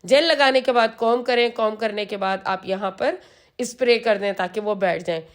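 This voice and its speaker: A hoarse woman, patiently explaining a hairstyle step by step as a salon channel host, repeating the key steps. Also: mid-range voice, normal speed. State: hoarse from a sore throat. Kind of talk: step-by-step hair styling tutorial.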